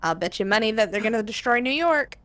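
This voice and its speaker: Lively American woman, playful banter. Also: on the high side, quick pace, no room sound. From United States. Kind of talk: casual chat.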